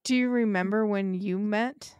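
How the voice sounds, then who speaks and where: A woman's voice: mid-range voice, fairly even intonation, normal speed, a little mellow, clear, resonant tone, no room sound. A woman hosting a podcast interview and asking brief, direct questions. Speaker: podcast host.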